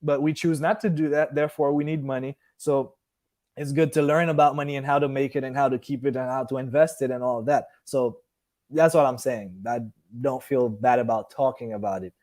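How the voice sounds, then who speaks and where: A man's voice: medium pitch, fairly even intonation, brisk, a little mellow. A man in his early thirties from Haiti, raised partly in Miami, hosting a casual live stream in a rambling, conversational way as he answers viewers. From Haiti, moved to Miami at fourteen. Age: early 30s. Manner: rambling, loses and regains his thread.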